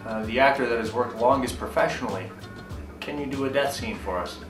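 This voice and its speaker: A man hosting an interview, asking playful questions with deadpan teasing. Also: medium pitch, a little fast, echoey room, recorded from a distance, over music. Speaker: interview host.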